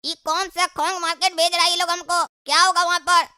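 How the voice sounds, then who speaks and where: Woman acting a very shrill, whiny cartoon boy. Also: flat delivery, brisk, pushed and bright, dry recording, clean studio sound, exaggerated voice. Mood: despairing. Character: whiny cowardly older brother, cartoon boy, self-serving.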